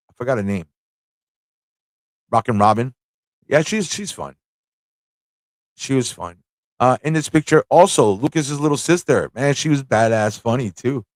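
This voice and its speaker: A man's voice: mid-range voice, no echo. A man hosting a livestream fan chat, talking in a rambling, informal way with frequent rhetorical tag questions. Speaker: livestream talk show host.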